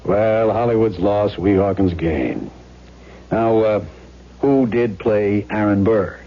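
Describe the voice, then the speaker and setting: An actor playing a wry, plain-spoken police lieutenant in a 1970s radio drama, patient and businesslike with a sardonic edge. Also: male voice, on the low side, slow pace. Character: dry, wry male police lieutenant, patient but increasingly exasperated. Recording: dull vintage radio broadcast recording.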